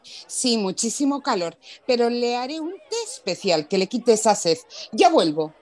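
Woman playing a lively, high-voiced hospitable wife. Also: normal speed. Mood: sweetly hospitable. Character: chatty, hospitable Andalusian wife of the boss. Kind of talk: radio drama acting.

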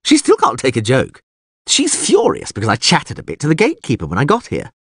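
A man's voice: medium pitch, wildly swooping pitch, quick pace, fairly bright tone, breathy edge, no echo, studio quality, voice pushed up, full character voice. A man voicing a cheeky, boastful troubadour in a storybook with theatrical, playful exaggeration. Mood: cheerful, chatty. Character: flamboyant, cheeky male troubadour, boastful and jovial.

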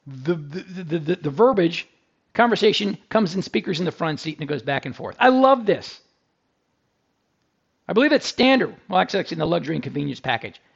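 Male podcast host, fairly high voice with a gravelly edge, chatty and enthusiastic, dull lo-fi sound. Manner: chatty and enthusiastic, with restarts and asides to listeners. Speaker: car review podcast host. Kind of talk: casual spoken car review.

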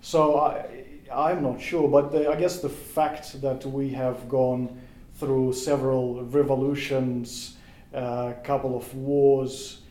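A man with a Russian accent, his first language Russian, answers as a podcast guest, slowly building his thoughts and circling back to restart phrases. His voice sits in an ordinary middle register. The recording has a noticeably echoey room sound. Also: medium pitch, little pitch movement, mellow. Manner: thoughtful, hesitant, searches for words with many restarts. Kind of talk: casual podcast interview.

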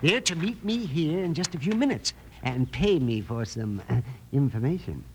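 A man's voice: on the high side, lively intonation, normal speed, slight background noise. An actor playing a fussy, cheerful town snooper in a radio western, chatty and prim in his wording. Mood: sly, pleased. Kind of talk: old-time radio western acting.

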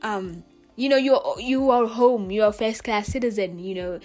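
Young Kenyan woman, earnest, chatty student vlogger, slightly high voice over soft music. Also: normal speed. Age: young adult. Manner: chatty, full of "like" and "you know", earnest. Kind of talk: casual reflective monologue to camera.

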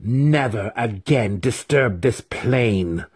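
A man voicing an imperious, monstrous female demon handmaiden in a fantasy audiobook, snarling slowly with contempt. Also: medium pitch, animated, a little mellow, husky, voice pushed up, exaggerated voice. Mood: scornful, menacing. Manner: snarling, slow, drawn-out contemptuous delivery.

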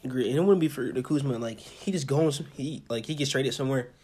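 A young American man with a husky voice, co-hosting a casual sports chat in an informal, halting way and often repeating himself. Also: mid-range voice, a little mellow. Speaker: podcast co-host.

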